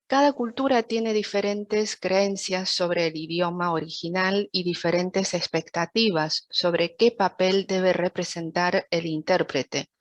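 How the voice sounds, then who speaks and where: A woman from China, a native Chinese speaker, gives a prepared lecture as an interpreter in a formal, measured, didactic way. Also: on the low side, steady tone, dry recording. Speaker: interpreter giving a talk. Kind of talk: prepared lecture, read from a script.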